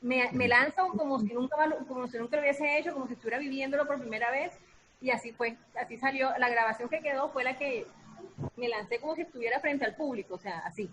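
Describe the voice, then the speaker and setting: A woman's voice: sits high, fast talker, fairly bright tone, slight background noise, low-quality recording. A woman talking casually and expressively as an actress, searching for words and repeating herself. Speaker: radio theatre actress. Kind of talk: casual talk about creative process.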